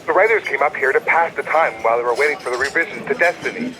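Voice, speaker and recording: A man acting as a gruff, exasperated space bartender, complaining over a call in a sci-fi satire audio drama. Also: mid-range voice, quick pace, noisy background, lightly acted.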